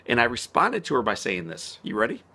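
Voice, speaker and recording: A man speaking as a motivational speaker in an inspirational vlog. He talks earnestly and slows down to stress key words. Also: mid-range voice, huge pitch swings, bright, forceful tone, husky. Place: outdoors on a spring morning.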